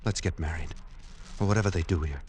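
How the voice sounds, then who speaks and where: A man's voice: low voice, quick pace, a little mellow, a little hiss or hum, close to an everyday voice. An actor playing an earnest young man who narrates his journal and pleads with the woman he loves in an old-time radio drama. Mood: pleading. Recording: old radio recording with clear music.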